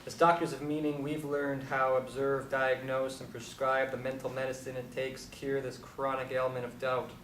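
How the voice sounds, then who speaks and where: An actor playing a blunt, irreverent aging Beat intellectual who philosophizes deliberately in a stage drama. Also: male voice, medium pitch, little pitch movement, a bit bright, lightly acted. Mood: pontificating. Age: older. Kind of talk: stage play dialogue. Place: live stage performance recorded from a distance. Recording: echoey, distant mic, faint noise.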